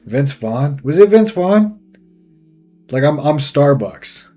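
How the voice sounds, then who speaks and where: A man's voice: on the high side, big pitch swings, slow pace, mellow, full character voice. Guy doing a sweary dead fish for a cartoon, throaty n strained, rambles a lot, music in back. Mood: confused, joking. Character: crass, rambling recently deceased fish, foul-mouthed and sarcastic. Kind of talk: loose improvised comic character acting. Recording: music under the voice.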